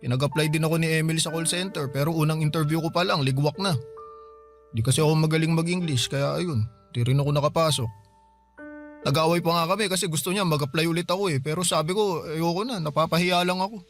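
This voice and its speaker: A man's voice: fairly high pitch, fast talker, faint music behind, natural voice. An actor playing a broke young man who pleads with his older sister in a radio drama, defensive and whiny. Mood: explaining. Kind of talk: Filipino radio drama acting.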